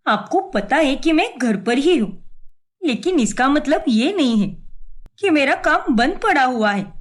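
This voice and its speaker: A woman voicing a hot-tempered young working daughter-in-law in a melodramatic cartoon, sharp-tongued and sarcastic. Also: high-pitched.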